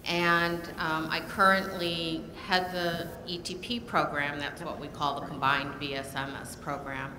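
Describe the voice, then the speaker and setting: An older woman, a nursing program director, giving a measured panel talk with frequent pauses. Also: low-pitched, level tone, crowd noise. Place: lecture hall. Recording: echoey hall, audible room noise.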